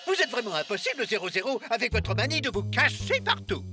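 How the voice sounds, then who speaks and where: A man's voice: very high-pitched, very animated, fast talker, over music. A man voicing a fussy, exasperated ambassador in a cartoon.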